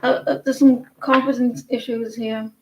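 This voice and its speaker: A woman's voice: fairly high pitch, level tone, moderate pace, poor audio. A woman in her forties from northeast England, with a northeast English accent, giving a casual, rambling tarot reading full of fillers and restarts. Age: 40s. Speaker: tarot reader.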